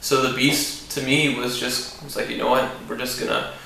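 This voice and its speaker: A man with a deep voice, a country musician, talking casually about his song in a relaxed, rambling way with many fillers. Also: moderate pace, creaky. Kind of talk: casual interview talk. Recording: echoey room with faint noise.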